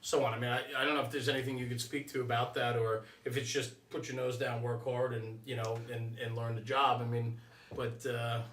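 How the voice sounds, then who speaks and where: A man's voice: medium pitch, little pitch movement, a little fast, a bit bright. A man co-hosting a podcast, asking hesitant questions and reacting with enthusiasm. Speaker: podcast co-host, interviewer. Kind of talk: podcast interview. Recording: roomy sound, distant mic.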